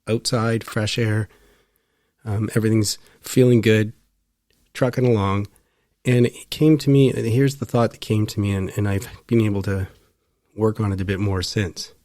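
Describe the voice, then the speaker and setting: A man giving a reflective personal monologue as a podcast host, phrasing his thoughts deliberately. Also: fairly low pitch, fairly even intonation, a little mellow, no echo, clean studio sound.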